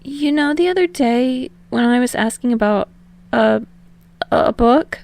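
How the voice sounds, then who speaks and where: A woman voicing a bubbly, earnest young man in love, with a hesitant, breathy, eager delivery in an audiobook. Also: fairly high pitch, unhurried, darkish tone, voice pushed up. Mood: sheepish.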